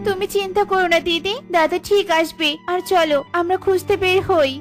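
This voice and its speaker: Woman playing a tiny, very high-voiced anxious bird. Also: fairly even intonation, fairly quick. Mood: caring. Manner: earnest, pleading. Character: small anxious, caring female bird. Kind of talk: children's cartoon voice acting. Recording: music playing behind the voice.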